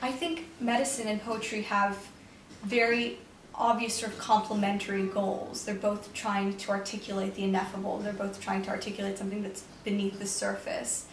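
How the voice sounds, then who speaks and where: A woman's voice: medium pitch, flat delivery, normal speed. A young woman poet, raised in New York with Romanian as her first language, answering interview questions in a thoughtful, reflective way with frequent hedges and restarts. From New York, United States. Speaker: poet, prize winner. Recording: echoey room, voice from far off, faint noise.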